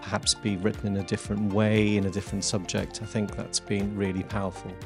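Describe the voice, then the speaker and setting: Low gravelly man, flat delivery. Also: a little fast. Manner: earnest, with many fillers. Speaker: school teacher. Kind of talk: interview. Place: classroom. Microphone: handheld mic. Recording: music bed under voice. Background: soft guitar music.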